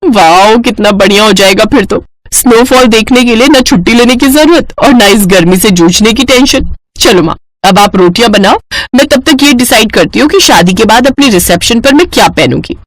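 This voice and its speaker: A woman acts out an excitable young daughter from an animated family story. Her voice sits high and she gushes dreamily about what she wants. It is recorded dry and close. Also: moderate pace, no room sound, low-quality recording. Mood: excited. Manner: gushing, bubbly. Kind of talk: animated moral-story voice acting.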